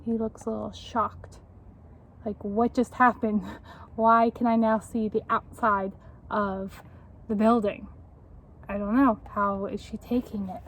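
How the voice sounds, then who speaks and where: A woman reading a children's picture book aloud in an expressive, encouraging, teacherly way, pausing to put questions to young listeners. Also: mid-range voice, a little hiss or hum, rough recording.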